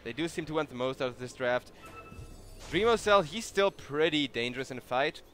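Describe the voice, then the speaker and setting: A man's voice: fairly high pitch, animated, a little fast, a bit bright, faint music behind. Tired young German man casting a game. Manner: chatty, tired, answers chat between plays. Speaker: esports caster. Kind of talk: solo live game commentary.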